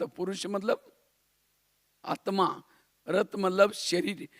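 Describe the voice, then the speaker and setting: A man's voice: sits high, dry recording, studio quality. A man from India giving a spiritual class in a didactic way, repeating phrases and posing rhetorical questions. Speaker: spiritual teacher.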